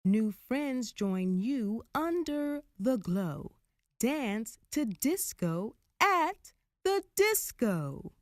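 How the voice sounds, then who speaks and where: A woman narrating a rhyming picture book for children in a deliberate, expressive storytelling manner. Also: a little high, no echo, clean studio sound. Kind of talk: rhyming children's read-aloud.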